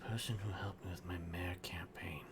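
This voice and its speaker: A man improvising in a roleplay game, chatting with theatrical, drawn-out reactions. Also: sits low, steady tone, heavy background noise.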